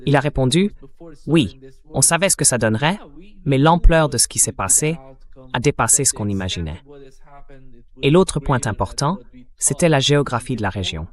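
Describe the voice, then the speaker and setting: A man's voice: mid-range voice, animated, normal speed, long pauses. Man, mid-range voice, interviewer, translated voice-over, political talk.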